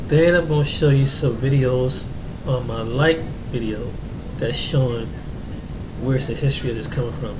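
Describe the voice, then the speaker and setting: A man's voice: medium pitch, moderate pace, background noise. A man hosting his own video, talking casually with a stuffed-up, congested voice and frequent ums. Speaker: video host. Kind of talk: casual talk to camera.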